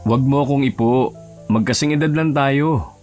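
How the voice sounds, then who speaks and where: Man acting a gruff young male office worker. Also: mid-range voice, normal speed, darkish tone, close to an everyday voice. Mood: brusque. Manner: curt, brusque. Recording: music bed behind the voice.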